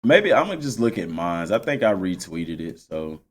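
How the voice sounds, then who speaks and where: A man giving casual, unscripted gaming commentary, addressing viewers directly and repeating points to make them clear. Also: low voice, sing-song, normal speed. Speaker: video game channel host.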